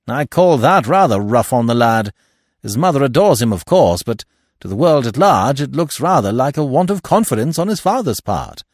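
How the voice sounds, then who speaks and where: A man's voice: mid-range voice, very animated, normal speed, darkish tone, dry recording, clean studio sound, pitched above the performer's own voice. A man voicing a brash, blunt, loyal secretary in an audiobook mystery, speaking in slangy, emphatic, indignant bursts. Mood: firm, protesting. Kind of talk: acted dialogue in audiobook mystery.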